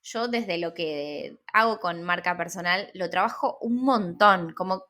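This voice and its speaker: A woman's voice: on the low side, unhurried, sharp tone. A woman with an Argentine accent in casual interview conversation, thinking aloud informally as she repeats and rephrases. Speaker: interviewer and personal branding consultant.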